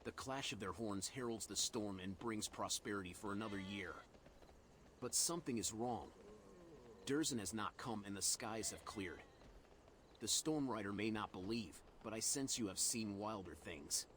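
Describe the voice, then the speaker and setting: A man's voice: on the low side, normal speed, fairly bright tone, slight background noise, close to an everyday voice. A synthetic man's voice playing a solemn, portentous dwarf storyteller giving quests in a fantasy game. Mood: solemn, earnest.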